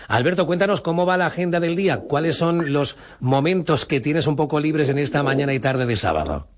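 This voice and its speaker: A man's voice: fairly high pitch, animated, brisk. A man with a Spanish accent from Spain, presenting a live promotional interview on the radio.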